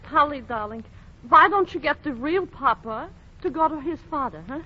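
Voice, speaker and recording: A woman's voice: high-pitched, lively intonation, moderate pace. A fussing older landlady. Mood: concerned. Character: warm, meddling older female landlady, a mother. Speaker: actress. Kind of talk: 1940s radio acting. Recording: dull old radio broadcast.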